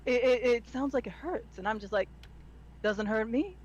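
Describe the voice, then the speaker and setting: A woman with a strong Midwestern American accent and a nasal, slightly high voice. She talks in a quick, excitable way, circling back on her words when she gets going. Her chat is loose and full of swearing. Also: dull audio. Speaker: guest voice actor. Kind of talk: casual livestream chat.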